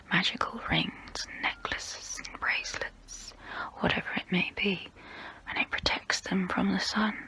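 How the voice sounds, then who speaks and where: Slow whispering melancholy vampire woman, acted for ASMR. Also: medium pitch, sharp tone, low-quality recording. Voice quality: breathy whisper. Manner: slow, hesitant, wistful, formal phrasing. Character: guilty, melancholy centuries-old female vampire, gentle but menacing. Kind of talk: ASMR role play, whispered monologue.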